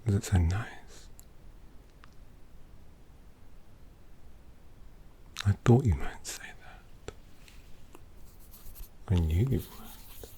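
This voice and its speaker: A suave, possessive vampire artist, played by an ASMR performer, speaks in a very low, breathy voice right up at the microphone. The delivery is extremely unhurried and indulgent, doting on a captive with a tenderness that carries menace. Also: lively intonation, warm tone, exaggerated voice. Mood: pleased, doting. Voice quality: whispery, breathy. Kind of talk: ASMR roleplay. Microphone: close-up microphone.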